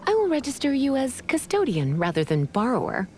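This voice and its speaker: Elegant, teasing witch librarian, animated. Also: high-pitched, brisk. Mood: languid, courteous. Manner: poised, teasing, condescending. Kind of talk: video game voice acting.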